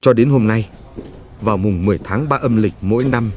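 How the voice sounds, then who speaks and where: A man narrating a legend from a script, with solemn, measured storytelling. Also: on the low side, a little mellow, breathy edge. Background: music.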